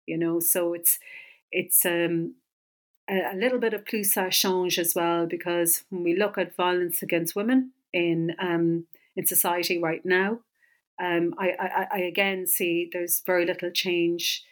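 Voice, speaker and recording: A woman's voice: lowish voice, flat delivery, unhurried. A woman with an Irish accent from the west of Ireland, a historian answering interview questions thoughtfully, with many fillers and restarts. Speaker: historian, author.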